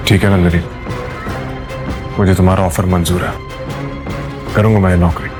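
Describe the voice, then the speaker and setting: A man plays a poor, meek young husband in an audio drama. His voice is fairly low, earnest and hesitant, plainly spoken, with music clearly underneath. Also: little pitch movement, normal speed, close to an everyday voice. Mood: resigned. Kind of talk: Hindi audio drama acting. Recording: music behind the voice.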